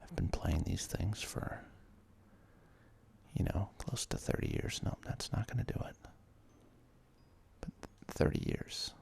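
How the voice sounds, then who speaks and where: A man's voice: low voice, wildly swooping pitch, slow, creaky, long pauses, a little mellow, slight background noise. A man in his thirties whispers ASMR video game commentary in a hesitant, self-deprecating, rambling way. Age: 30s. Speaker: ASMR let's play host. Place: apartment.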